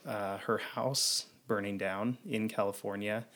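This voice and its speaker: A man's voice: fairly low pitch, steady tone, a little slow, a bit bright. A man hosting a podcast, rambling with many fillers as he introduces his first guest. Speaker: podcast host. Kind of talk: casual interview conversation.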